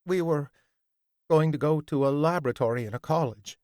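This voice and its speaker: A man voicing a frightened male talking monkey who speaks in a shrill, panicky rush. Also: fairly quick, a little mellow, no room sound, studio quality, close to an everyday voice. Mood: nervous.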